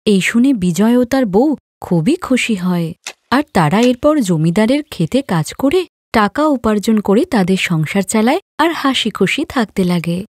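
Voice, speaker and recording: A woman's voice: mid-range voice, lively intonation, measured pace, a little mellow, no echo. A woman giving scripted narration of a moral story as the storyteller.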